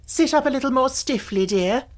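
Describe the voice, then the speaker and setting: Woman doing a bossy but sweet little storybook girl, excitable, pitched up high, scolds and coaxes. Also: moderate pace. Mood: merry, teasing. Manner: emphatic, scolding and coaxing. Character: Alice, spirited young girl, excitable, bossy yet affectionate. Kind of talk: storybook audiobook character voice.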